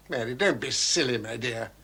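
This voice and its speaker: A scheming, patronising shopkeeper. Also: male voice, medium pitch, bright, forceful tone, full character voice. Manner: patronising, smooth-talking. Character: scheming shopkeeper man feigning kindness. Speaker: villain.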